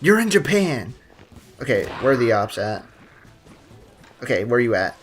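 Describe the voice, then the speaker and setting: A man's voice: mid-range voice, very animated, moderate pace, soft background music. A man live-streaming a game, commenting in a joking, playful way with lots of slang.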